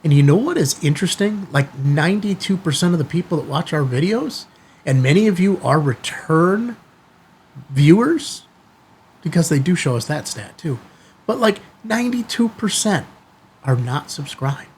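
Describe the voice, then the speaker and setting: A man's voice: fairly high pitch, animated, moderate pace, breathy edge. A man hosting a casual product review vlog, talking conversationally and emphatically in short bursts. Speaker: YouTube channel host.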